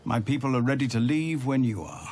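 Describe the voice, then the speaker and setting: An actor playing a weary, dignified refugee leader in a video game, earnest and measured. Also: male voice, mid-range voice, big pitch swings, darkish tone, soft background music, lightly acted. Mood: grateful, earnest.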